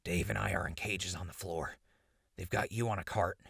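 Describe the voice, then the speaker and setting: An actor playing a captured radio host in a post-apocalyptic audio drama, urgent and stubbornly hopeful, with a gravelly, husky voice. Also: male voice, sits low, fairly even intonation, normal speed, a bit bright, dry recording, clean studio sound, full character voice. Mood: grim explaining.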